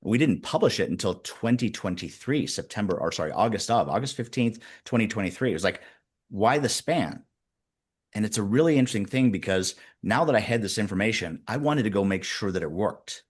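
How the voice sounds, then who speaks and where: A man in his fifties, a guest executive coach, telling stories in a casual interview with lively, shifting pacing. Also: on the low side, breathy edge. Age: 50s. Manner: animated storyteller, speeds up and slows down.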